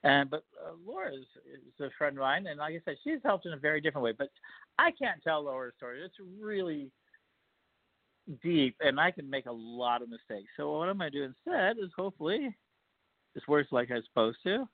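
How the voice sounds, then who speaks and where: A man's voice: fairly high pitch, big pitch swings, fairly quick, no room sound. An older man hosting a podcast, talking casually in a rambling, hesitant way with many fillers. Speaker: podcast host. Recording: tinny phone-like line.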